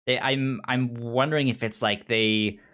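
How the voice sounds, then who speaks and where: A man's voice: mid-range voice, steady tone, measured pace, a bit bright, smooth. A man co-hosting a podcast, chatting casually about pop culture, with a stutter that repeats syllables and words. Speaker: podcast co-host.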